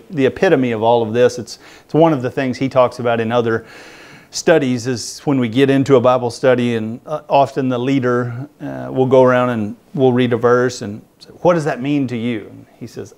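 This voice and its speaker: A man's voice: medium pitch, a little mellow. A man in his thirties preaching a sermon, talking casually with frequent restarts and fillers. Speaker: pastor. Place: church hall with a projection screen.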